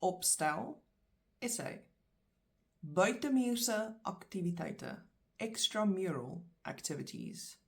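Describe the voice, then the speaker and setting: A middle-aged South African woman, a native Afrikaans speaker, teaching vocabulary and saying each word slowly and clearly. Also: medium pitch, expressive. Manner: slow, clear word-by-word pronunciation. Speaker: language teacher. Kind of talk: vocabulary lesson.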